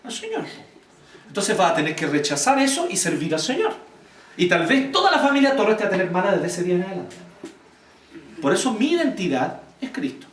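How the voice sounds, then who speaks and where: A Chilean man giving an informal church teaching talk, blunt and animated, quizzing the audience as he goes. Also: high-pitched, a little fast, a little hiss or hum. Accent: Chilean Spanish. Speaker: church speaker teaching volunteers. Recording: echoey room, voice from far off.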